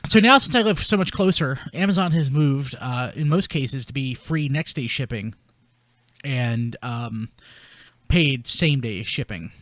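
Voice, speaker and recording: A man with a mid-pitched voice hosts a casual show. He talks informally and with enthusiasm. The sound is very dry, with no room on it. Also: animated, a little slow, fairly bright tone, dry recording. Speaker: podcast host. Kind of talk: casual chat.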